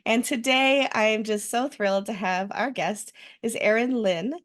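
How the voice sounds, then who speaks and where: A woman's voice: fairly high pitch, normal speed. A woman hosting a podcast, introducing a guest in a bubbly, effusive way. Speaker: podcast host. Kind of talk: podcast interview.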